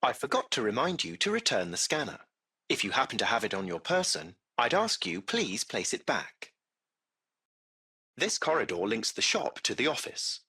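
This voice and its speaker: Game casting: a polite AI chauffeur, formal and precise, very animated pitch, bright forceful edge. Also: male voice, normal speed, creaky, slightly rough, full character voice. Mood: formal, explanatory. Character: courteous AI taxi-service machine, formal and butler-like. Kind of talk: scripted video game voice acting.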